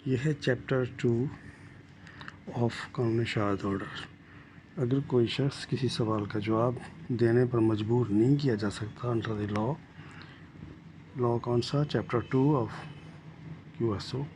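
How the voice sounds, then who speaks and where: An older man with a Pakistani accent giving an explanatory law lecture, deliberate and repeating his points in other words. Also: medium pitch, fairly even intonation, a little mellow, slight background noise, rough recording. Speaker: retired judge teaching law.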